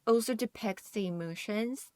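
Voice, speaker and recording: A woman's voice: medium pitch, moderate pace, warm tone, dry recording, studio quality. A woman co-hosting a podcast, discussing things in a casual, conversational way peppered with "like" and "you know". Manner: frequent hedging with "like" and "you know". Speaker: podcast co-host.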